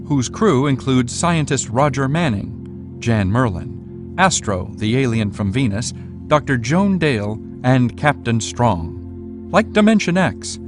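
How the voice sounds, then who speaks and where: Male documentary narrator over music. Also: medium pitch. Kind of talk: scripted narration. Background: prominent music.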